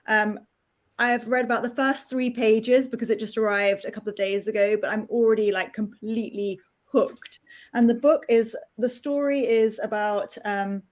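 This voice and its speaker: Woman, co-host, friendly, fillers, slightly high voice. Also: steady tone, moderate pace. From United Kingdom. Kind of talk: casual interview hosting.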